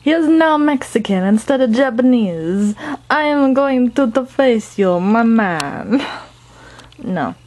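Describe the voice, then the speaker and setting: A woman's voice: on the high side, animated, slow, a little mellow. A woman chatting casually in a goofy, playful, rambling way as she presents her own amateur comic dub. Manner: goofy, playful, self-interrupting. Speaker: amateur comic dubber and artist. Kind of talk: casual rambling chat over drawings.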